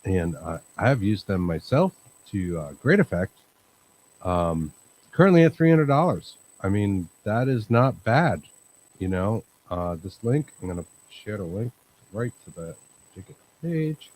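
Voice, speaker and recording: A man hosting a live chat show, talking in a calm, rambling way with many fillers. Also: lowish voice, measured pace, rough recording. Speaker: host. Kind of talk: casual livestream chat.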